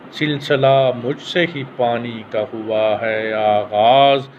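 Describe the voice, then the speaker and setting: A man, a poet from Pakistan with a Pakistani Urdu accent, reciting a ghazal and repeating each verse in a drawn-out, measured way. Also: medium pitch. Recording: tinny phone-like sound with faint hiss.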